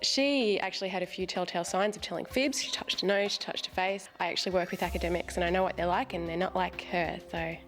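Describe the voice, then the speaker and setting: A woman's voice: on the low side, steady tone, fast, hardly any pauses, a bit bright, faint music behind. A woman in her twenties, a game show contestant, candid and gossipy. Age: 20s.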